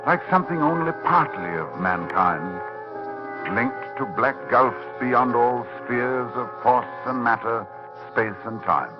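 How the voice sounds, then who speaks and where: Muffled old radio recording with music, learned older professor, slow halting dramatic delivery, grave horror narration. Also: male voice, medium pitch, animated. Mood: grave, horrified. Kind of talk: 1940s radio drama acting.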